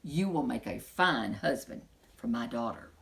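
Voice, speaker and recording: A woman's voice: a bass voice, lively intonation, measured pace, creaky, slight background noise, voice pushed down, exaggerated voice. A woman voicing a jolly, kindly king in a storybook, proclaiming his words in an exclamatory way. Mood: warm, approving.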